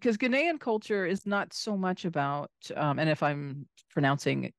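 An interview host with an American accent, talking in a halting way full of restarts. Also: mid-range voice, sing-song, dry recording, studio quality. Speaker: podcast host. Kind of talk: casual interview chat.

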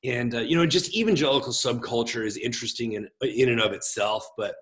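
A man's voice: mid-range voice, a little fast. Gregarious middle-aged male pastor. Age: 40s. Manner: gregarious and expressive, big voice. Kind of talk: casual conversational interview.

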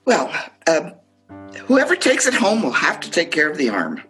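A woman's voice: sits low, wildly swooping pitch, moderate pace, fairly bright tone, breathy edge, faint music behind, full character voice. A woman acting in a radio play as a matter-of-fact sibling who runs a family auction with playful, orderly calls. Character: brisk sibling playing auctioneer at family estate sale.

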